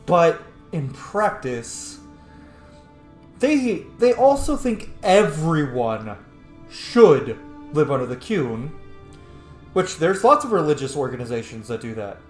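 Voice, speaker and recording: A man giving casual game commentary as he roleplays, musing out loud with emphatic words and some swearing. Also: fairly high pitch, very animated, slow pace, long pauses. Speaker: gamer, host of a roleplay playthrough. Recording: echoey room, faint music behind. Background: faint game music.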